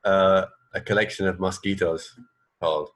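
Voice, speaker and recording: Male radio co-host with a deep voice, conversational and informal, prone to hesitant repetition. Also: steady tone, normal speed, a bit bright. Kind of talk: casual banter chat.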